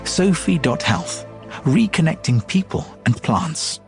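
A man reading a scripted promotional narration in a smooth, earnest delivery. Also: on the high side, sing-song, slow, music in the background. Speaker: promo voice-over.

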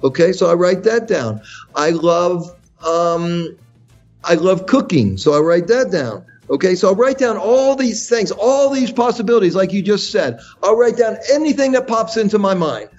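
A man's voice: high voice, animated, normal speed, darkish tone. A man, a guest giving enthusiastic, coaching advice on a radio show. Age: 60s. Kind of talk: radio interview. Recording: dull lo-fi radio sound with faint music.

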